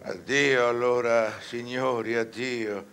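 An actor playing a proud, learned scholar haunted by damnation, declaiming solemnly in a stage verse tragedy. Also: male voice, medium pitch, fairly even intonation, close to an everyday voice. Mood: solemn, hushed. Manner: solemn, measured, theatrical declamation. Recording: music under the voice.

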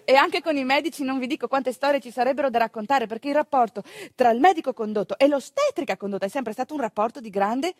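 Female stage storyteller, northeastern Italian accent, wry and expressive, higher-pitched, recorded dry. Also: fast talker, no echo. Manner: conversational, wry, expressive, with dialect words. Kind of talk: theatrical monologue storytelling.

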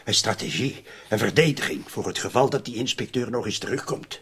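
An actor playing a nervous, calculating undertaker in a radio drama, blustering and anxious. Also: male voice, mid-range voice, lively intonation, a little fast, a bit bright, husky. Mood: worried.

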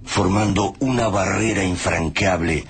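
Male narrator for radio drama: very low register, grave scripted delivery, noisy atmospheric backing. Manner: solemn, deliberate.

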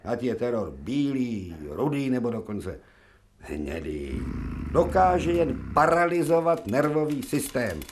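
Actor as a gruff, commanding professor, lively intonation. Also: male voice, mid-range voice, slight background noise, lightly acted. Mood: pontificating. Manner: commanding, pompous pronouncements. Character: authoritative male professor of medicine, gruff yet kindly, opinionated. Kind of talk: radio drama acting.

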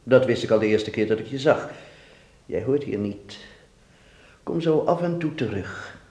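A man's voice: mid-range voice, lively intonation, unhurried, dark tone. Fatherly dance teacher in an echoey room. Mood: tender, wistful. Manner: fatherly, musing, addresses his pupil tenderly. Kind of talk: Dutch radio drama acting.

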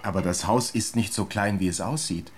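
An actor playing a patient father in a radio play, gently and hesitantly coaxing his young daughter. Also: fairly low pitch, normal speed, a little hiss or hum, close to an everyday voice. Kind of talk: German radio play acting.

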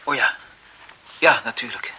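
An actor playing a shy, smitten young photographer in a 1970s radio drama, courteous, hesitant and deferential. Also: male voice, a little slow, bright, forceful tone. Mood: smitten, eager. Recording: faintly noisy 1970s radio recording.

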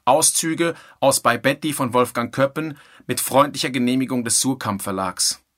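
A man's voice: medium pitch, moderate pace. A man reading scripted radio drama credits as an announcer.

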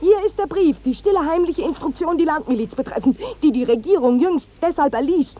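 An actress playing a desperate, impassioned young village maiden in a classic 1950s German radio drama. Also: female voice, sits high, brisk, muffled recording, natural voice. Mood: desperate pleading.

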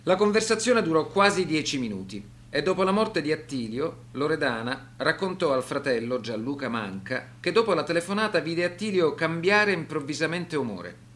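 A man giving scripted documentary narration for a radio drama in a serious, measured manner. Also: on the high side, expressive, fairly bright tone.

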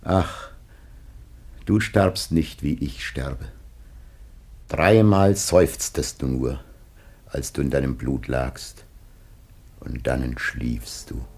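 A man's voice: very deep voice, slow, long pauses, a little mellow, husky, full character voice. An actor playing a weary, dying old father in a classical radio play, solemn, grave and lamenting in his delivery. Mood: sorrowful lament.

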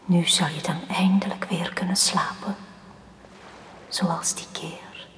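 An actress playing the calm, eerie ghost of a drowned young woman in a radio drama, in a whispery voice. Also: lowish voice. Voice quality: whispery, slow. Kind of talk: 1980s radio drama acting.

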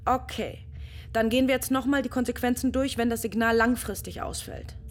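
A woman's voice: a little high, steady tone, a little fast, lightly acted. Focused woman playing an astronaut. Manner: focused and composed, sometimes shaken. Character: female astronaut alone on a long mission. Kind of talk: German radio drama acting.

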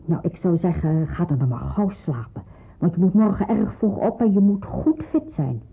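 A middle-aged pastor's wife in a radio drama, caring and practical. Her voice is husky, and she explains things in a reassuring, slightly hesitant way. It sounds muffled, as if down a phone line. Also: on the low side, sing-song, moderate pace, full character voice. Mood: soothing. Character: caring, devout pastor's wife aiding people in hiding. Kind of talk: Dutch radio drama acting.

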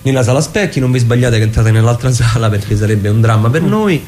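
A man's voice: mid-range voice, lively intonation, fast, a little mellow, slight background noise. A man chatting casually as a theatre guest on the radio, wry and rambling. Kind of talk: casual radio interview chat. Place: radio studio.

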